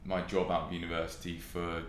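Young male podcast guest, southern English, low-pitched, casual, echoey recording. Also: monotone, fairly quick. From West Sussex, England. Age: young adult. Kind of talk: casual chat, telling own experience. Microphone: podcast microphone. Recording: roomy echo with faint noise.

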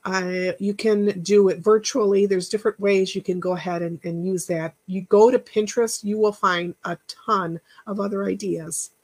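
A woman hosting a casual live chat, rambling and encouraging, thanking viewers by name, with a stuffy nose and a voice that is going hoarse. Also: mid-range voice, steady tone, normal speed, few pauses, a little mellow. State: losing her voice. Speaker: podcast host.

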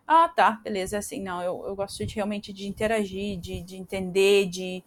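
A woman's voice: mid-range voice, moderate pace. Chatty Brazilian woman, podcast guest. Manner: chatty, frequent fillers and restarts. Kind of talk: casual interview conversation.

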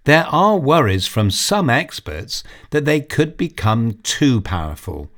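Male narrator for a children's audio story: unhurried, lively in pitch, expressive and measured. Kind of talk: storybook reading.

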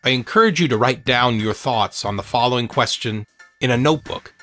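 A man narrating his own book as an author and podcast host, in an earnest, measured, motivational way. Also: mid-range voice, a bit bright, soft background music. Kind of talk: scripted audiobook narration.

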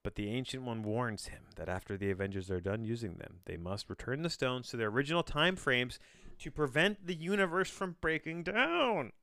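A man's voice: mid-range voice, lively intonation, moderate pace, pushed and bright, dry recording, studio quality. A man hosting a solo podcast, casually reading aloud with bemused, rambling asides and frequent self-interruptions. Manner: casual swearing. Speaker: podcast host.

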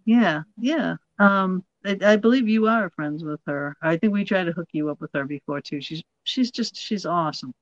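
A woman from Massachusetts with a Massachusetts accent and a rough voice, hosting a casual chat and talking bluntly. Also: on the low side, muffled recording. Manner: blunt, quick and laughing. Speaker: podcast host.

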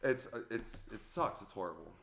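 A man's voice: fairly low pitch, animated, vocal fry, fairly bright tone, a little hiss or hum. Laid-back male podcast host in an echoey room. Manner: laid-back, agreeable, quick to laugh. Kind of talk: casual podcast chat.

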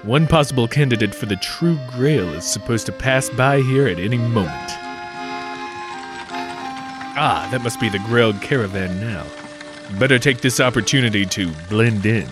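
A man's voice: mid-range voice, moderate pace, over music, natural voice. A man playing a wily, folksy adventurer who narrates his own schemes with old-time slang in a comedic 1940s-style radio play. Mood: scheming.

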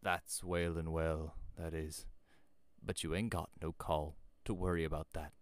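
A man's voice: rumbling low, flat delivery, clean tone, no room sound. Casting: male reader as elderly country shepherd for a storybook, grave and emphatic, rustic turns of speech, very dry recording. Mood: genial. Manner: rustic dialect speech, slow emphatic warnings. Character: old rustic shepherd, grave, startled, warning. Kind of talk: storybook character voice.

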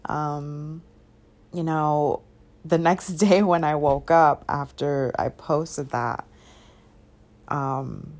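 Casting: woman in her thirties, low sleepy voice, unhurried chatty podcast host. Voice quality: sleepy-sounding voice. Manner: relaxed, chatty, many fillers and soft laughs. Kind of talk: casual solo podcast chat.

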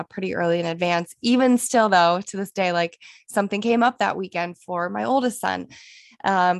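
A woman's voice: medium pitch, vocal fry, dry recording, clean studio sound. Nasal-sounding woman with a cold, podcasting. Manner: chatty, rambling with fillers and restarts. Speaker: podcast host, fitness coach. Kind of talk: casual podcast monologue.

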